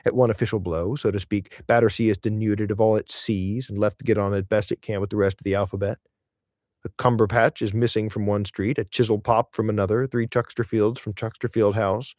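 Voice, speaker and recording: Male audiobook reader, lowish pitch, measured and expressive, close dry recording. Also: dry recording. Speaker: reader of an essay aloud. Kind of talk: audiobook-style narration of a literary essay.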